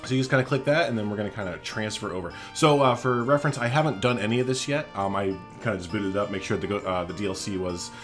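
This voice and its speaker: Male gaming host, lowish pitch, casual let's-play style, informal, joking asides, faint music behind. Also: quick pace, hardly any pauses.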